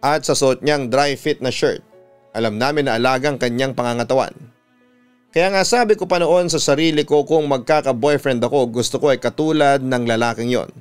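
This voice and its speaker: A Filipino man narrating a listener's letter in the first person like a radio storyteller, in measured, deliberate phrases. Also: mid-range voice, clear, resonant tone. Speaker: radio announcer and storytelling host. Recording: music playing behind the voice. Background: instrumental music.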